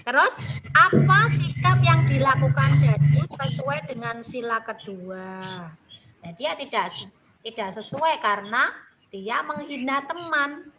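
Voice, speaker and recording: Slow, high-voiced Javanese woman teacher. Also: noisy background. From Central Java, Indonesia. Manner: slow, patient, addresses children with tag questions. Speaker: primary school teacher. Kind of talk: online class lesson. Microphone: video call.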